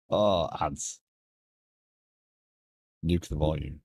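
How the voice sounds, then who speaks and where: A man with a British accent softened by years in America, chatting casually on a coding live stream and thinking aloud in a stop-start way. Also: very deep voice, breathy edge, no echo, clean studio sound.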